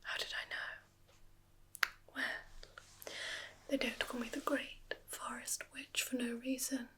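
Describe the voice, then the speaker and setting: A sly forest witch, whispered by a woman, leans in with a bright, airy hush, every word drawn out slowly. Her voice sits a little high and she coaxes with soft murmured questions, knowing and teasing, as in an ASMR roleplay. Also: little pitch movement. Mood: knowing, coaxing.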